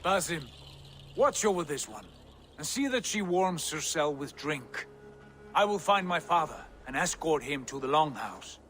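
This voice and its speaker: An actor playing a bold, boastful Viking lord in a video game, with a grandiose, commanding delivery. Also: male voice, fairly high pitch, animated, unhurried, fairly bright tone, faint music behind. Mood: concerned, commanding. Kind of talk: dramatic video game acting.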